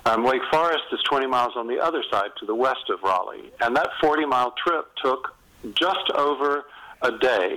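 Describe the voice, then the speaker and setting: An older American man from North Carolina telling stories as an interviewed novelist, in an animated, conversational way with emphatic repetition. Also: mid-range voice, poor audio. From North Carolina, United States. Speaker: novelist being interviewed as guest.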